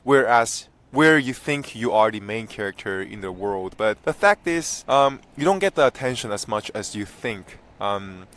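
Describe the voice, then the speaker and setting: A 23-year-old man from South Korea and southern China, a native speaker of Korean and Mandarin, talking casually and candidly as a vlogger, often restarting his phrases. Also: medium pitch. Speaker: vlogger and language content creator. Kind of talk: casual personal vlog talk to camera.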